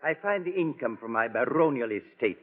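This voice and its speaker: A pompous, courtly baron from a vintage radio play, played by a man. His mid-pitched voice moves around a lot as he hems and haws with fussy formality. The sound is thin and band-limited. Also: expressive, brisk, phone-quality sound, lightly acted. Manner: formal, fussy, hemming and hawing. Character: pompous, courtly, hesitant male European baron. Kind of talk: old-time radio drama acting.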